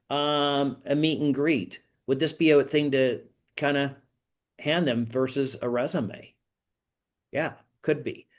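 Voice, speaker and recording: An older man leading an online group session informally, rambling a little and putting rhetorical questions to the group. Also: medium pitch. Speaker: host of an online career coaching group meeting. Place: online video call.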